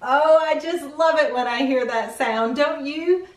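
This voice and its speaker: A middle-aged woman hosting a children's read-aloud, expressive, reading picture-book lines and chatting to young viewers with questions. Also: high voice, unhurried. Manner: expressive, addressing small children with questions and exclamations. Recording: roomy echo, distant microphone.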